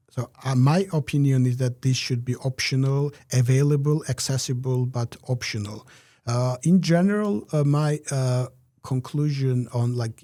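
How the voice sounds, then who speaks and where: Man answering interview questions slowly, lots of uhs, flat mellow voice, dry room. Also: medium pitch, dry recording. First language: Georgian. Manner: measured, many "uh" pauses. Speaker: interview guest, researcher.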